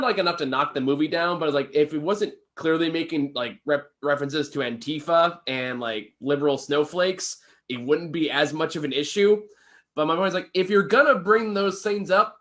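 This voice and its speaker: A man's voice: fairly high pitch, moderate pace, fairly bright tone. A man co-hosting a casual film review chat, speaking informally and hedging as he trails into asides.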